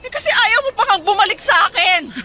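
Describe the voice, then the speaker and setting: An actress playing an obsessive, jealous abandoned wife in a Filipino radio drama, accusing and argumentative. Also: female voice, extremely high pitch, expressive, fairly quick, bright, forceful tone, slight background noise, full character voice. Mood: pleading, jealous. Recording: music behind.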